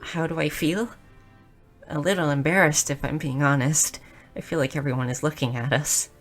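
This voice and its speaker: A woman voicing a shy, nerdy, curious young goblin girl in a roleplay audio drama, speaking softly and earnestly with small stammers. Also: low voice, steady tone, moderate pace, soft background music. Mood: nervous, flustered. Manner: soft, hesitant, stammering, earnest.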